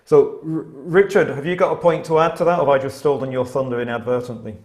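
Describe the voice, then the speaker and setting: A man's voice: mid-range voice, fairly even intonation, fast talker, a little mellow. A man moderating a webinar panel discussion, speaking in a conversational, lightly humorous way.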